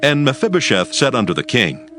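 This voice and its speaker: A man's voice: mid-range voice, sing-song, brisk, bright, forceful tone, soft background music. A man narrating scripture in a solemn storytelling style for a dramatized audio production.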